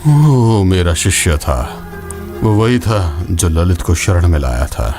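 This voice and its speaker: Male dub actor as weary old scholar-mentor, deepish voice, sardonic, fantasy game drama, strong musical backing. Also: expressive, takes it slowly, heavily acted. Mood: wry, brooding. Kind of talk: dubbed fantasy game film dialogue. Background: dramatic background music.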